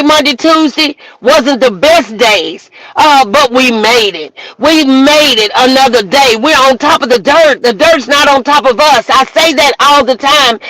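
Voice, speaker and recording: A woman minister hosting a livestream, giving an emphatic, preacherly motivational talk that at times rises to shouts. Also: high-pitched, no room sound, low-quality recording. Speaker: minister and host. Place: outdoors walking in the rain.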